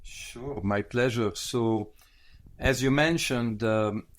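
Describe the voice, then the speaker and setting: A man, a retired pathologist giving an interview, thoughtful and pausing often to correct himself. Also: medium pitch, slight background noise. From Lebanon. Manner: thoughtful, pauses with fillers and self-corrections. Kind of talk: conversational interview.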